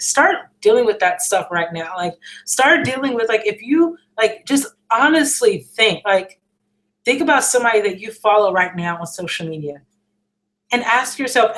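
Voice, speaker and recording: An American woman with a slightly scratchy voice talks casually and earnestly to a livestream audience, encouraging them with informal, emphatic repetition. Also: medium pitch. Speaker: musician hosting a livestream question and answer session. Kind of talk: casual encouraging chat, reading out viewer questions.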